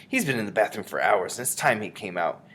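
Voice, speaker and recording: A man voicing an affectionate, composed old grandmother in a storybook reading, speaking with gentle emphasis. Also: on the low side, sing-song, fast talker, a little hiss or hum. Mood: cool, composed.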